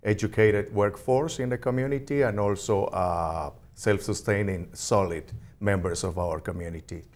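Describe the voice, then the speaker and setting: Deep-voiced man with a Peruvian Spanish accent. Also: moderate pace, a little mellow. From Peru. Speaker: interview guest, ESOL program representative. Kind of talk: conversational interview answers.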